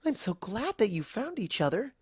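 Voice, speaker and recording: A woman voicing a timid, kindly female cartoon pony with a soft, sweet manner. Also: medium pitch, big pitch swings, moderate pace, slightly rough, no room sound, clean studio sound, pitched above the performer's own voice. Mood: delighted.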